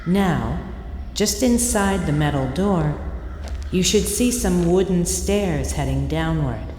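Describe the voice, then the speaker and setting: A woman playing an eerie audio tour guide in an audio drama, giving deliberate instructions with hypnotic repetition. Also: lowish voice, lively intonation, mellow, a little hiss or hum. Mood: calm, commanding. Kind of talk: scripted audio drama narration. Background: ambient field recording and foley sounds.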